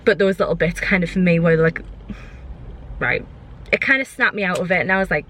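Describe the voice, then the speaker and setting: A woman's voice: on the low side, fairly quick, fairly bright tone, a little hiss or hum. A young British woman chatting casually to her vlog viewers in an informal, rambling way. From United Kingdom. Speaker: vlogger.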